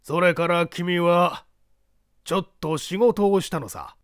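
A man voicing a smug, probing judge in an audiobook, deliberate and condescendingly genial as he questions a suspect. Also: high voice, clear, resonant tone, no room sound, studio quality, pitched above the performer's own voice. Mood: smugly genial. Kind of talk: dramatic audiobook character reading.

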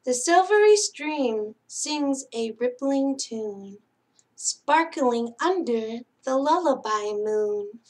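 Casting: woman, middle-aged, high-pitched voice, slow delivery, gentle children's storyteller and host. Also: expressive, poor audio. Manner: gentle, singsong, addressing young children. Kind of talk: children's picture-book read-aloud. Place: small indoor space hung with curtains and string lights.

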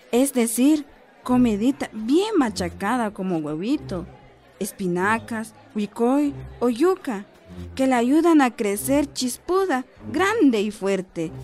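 An actress playing a friendly, assured village health adviser in a radio soap, explaining patiently with a Guatemalan accent. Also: female voice, fairly high pitch, darkish tone. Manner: instructive, patient, encouraging. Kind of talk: radio soap opera acting.

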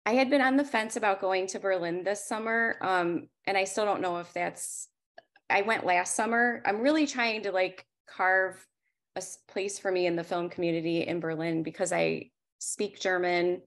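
A woman's voice: mid-range voice, moderate pace. A woman in her forties speaking candidly and conversationally as she presents her photography work on a video call. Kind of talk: informal slide presentation.

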